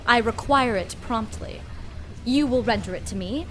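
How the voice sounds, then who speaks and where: A haughty, grandiose woman scientist, played by an actress in a comedic radio play, pitched on the high side. She talks down in wordy, theatrical flourishes, with some noise behind her. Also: moderate pace, a bit bright, natural voice. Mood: haughty, irritated. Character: arrogant woman mad scientist, condescending and grandiose. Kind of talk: comedic audio drama acting.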